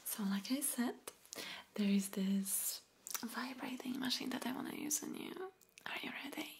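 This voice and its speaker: A young woman whispers breathily and soothingly, with pauses and soft hums, close to the microphone in an ASMR roleplay as a massage therapist. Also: medium pitch. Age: young adult. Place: small indoor room.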